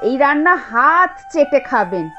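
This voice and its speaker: Young daughter-in-law, family cartoon female actor: dutiful, deferential, high pitch, animated melody. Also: moderate pace, lo-fi sound. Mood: eager, attentive. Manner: polite, deferential. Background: soft background music.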